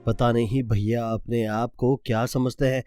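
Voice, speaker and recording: Man as jealous younger prince, cartoon villain, calculating, formal, medium pitch, music underneath. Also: level tone, normal speed, natural voice. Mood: bitter, scheming. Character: jealous, scheming younger prince, falsely polite.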